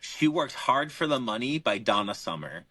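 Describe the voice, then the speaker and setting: Bright-voiced young man on a podcast. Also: medium pitch, breathy edge, no room sound. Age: young adult. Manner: relaxed, drawn-out picks with fillers. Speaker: podcast co-host. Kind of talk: casual podcast chat.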